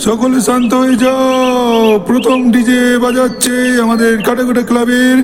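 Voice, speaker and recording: A man's voice: very high voice, monotone, moderate pace. A man voicing a crude, fussy competition announcer in a comedy cartoon. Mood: announcing, excited. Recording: music behind the voice.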